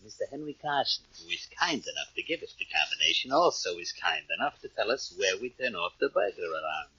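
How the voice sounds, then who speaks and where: An actor playing a coldly polite, menacing gang boss with formal, measured speech in a 1940s radio crime drama. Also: male voice, lowish voice, sing-song, sharp tone, slightly rough, full character voice. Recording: dull old radio recording.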